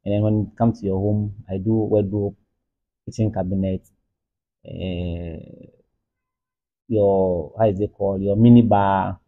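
A man in his thirties with a Ghanaian accent, answering interview questions casually and haltingly, repeating words. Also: on the low side, little pitch movement. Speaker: carpenter, interview guest. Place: furnished room, seated in armchairs. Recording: thin, muffled phone-like sound.

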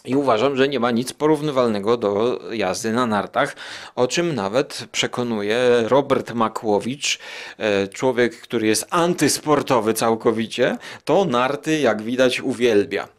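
A man hosting a podcast in a casual, digressive monologue, musing aloud with hesitant pauses and tag questions. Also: medium pitch. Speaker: podcast host.

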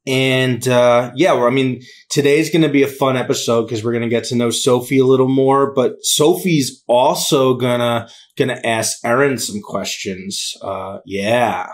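A man's voice: medium pitch, steady tone. Upbeat male podcast host. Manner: upbeat, quick, loose with fillers. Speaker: podcast co-host, former teacher. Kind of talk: casual interview hosting. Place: home room with bookshelves.

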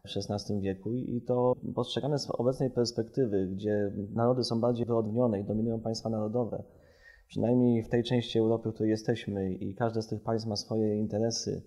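A man's voice: on the low side, flat delivery, a little fast, warm tone. A man from Poland speaking as a researcher in a podcast, discursive, building his arguments in long chains.

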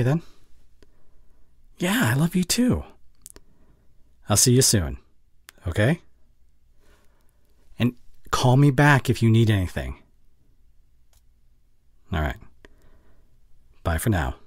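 A man playing a tender, anxious young boyfriend in an ASMR audio drama, speaking intimately and haltingly with breathy sighs. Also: mid-range voice, very animated, no room sound, clean studio sound, full character voice. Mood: concerned.

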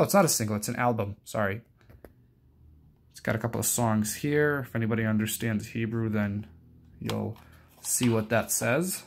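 Young man, hesitant and rambling. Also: mid-range voice. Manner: hesitant, rambling, self-correcting. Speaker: collector presenting his cassette collection. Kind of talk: casual unscripted show-and-tell.

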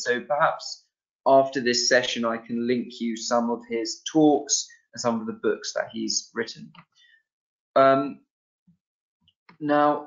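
A young man presenting an online teaching webinar, talking loosely with many ums and restarts, from a small room over a video call. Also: mid-range voice. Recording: dull lo-fi video-call sound.

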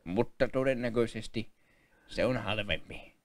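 A man's voice: fairly low pitch, lightly acted. A man voicing an indecisive appraiser in a comic amateur dub, hesitant and rambling. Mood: unsure. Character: dithering male appraiser unable to settle a value.

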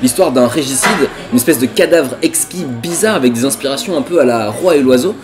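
Fast-talking young Frenchman. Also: medium pitch, darkish tone, soft background music. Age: 20s. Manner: slangy, joking. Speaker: co-host of a web culture show. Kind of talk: casual chatty presenting to camera. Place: diner booth with red seats.

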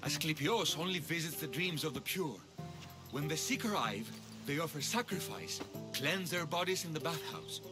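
Man acting a high-pitched, cheeky, panicky Greek temple priest. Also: normal speed, over music, lightly acted. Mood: explanatory, earnest. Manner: sarcastic, excitable, theatrical. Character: cheeky, panicky male sanctuary bathhouse priest in ancient Greece. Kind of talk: video game character acting.

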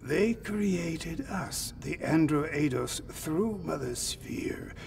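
A man's voice: a little high, animated, extremely slow, creaky, distant mic, heavily acted. A man playing a solemn old android leader in a video game, speaking in a grave, cryptic, formal way. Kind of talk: cinematic video game dialogue.

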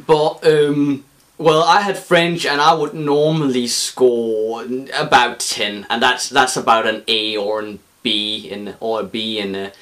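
A man's voice: mid-range voice, level tone, measured pace, a bit bright. Chatty young Danish man, rambling. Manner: chatty, rambling, lots of fillers and restarts. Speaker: beer-tasting vlogger. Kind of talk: casual chat. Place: indoor room.

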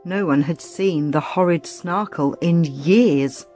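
A woman reading a children's story aloud as dramatic, expressive, suspenseful narration. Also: on the low side, takes it slowly. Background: music.

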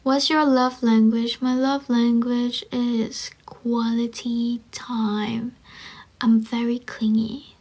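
A young woman in her twenties with a Malaysian accent, chatting softly into her phone on a livestream, often close to a whisper. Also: fairly high pitch, little pitch movement, takes it slowly. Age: 20s. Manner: soft, drowsy, often near a whisper. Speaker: livestream host reading chat. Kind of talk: casual livestream chat. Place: dim room indoors. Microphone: phone held close.

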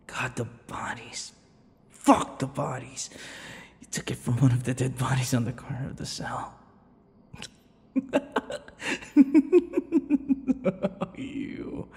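A man voicing an obsessive, menacing, centuries-old vampire lover in an ASMR roleplay, breathy and dramatic, often dropping into whispers. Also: mid-range voice. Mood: pained, pleading. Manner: slow, dramatic, swinging between tender and unhinged. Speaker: audio roleplay performer. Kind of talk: ASMR boyfriend roleplay acting. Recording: echoey long reverb, faint noise.

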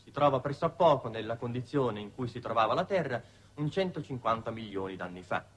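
An actor playing a deferential undersecretary making a careful, formal, hesitant report in a 1960s Italian television drama. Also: male voice, medium pitch, animated, brisk. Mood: deferential, cautious. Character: deferential bureaucratic male undersecretary reporting on Venus. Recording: noisy dated 1960s television soundtrack.

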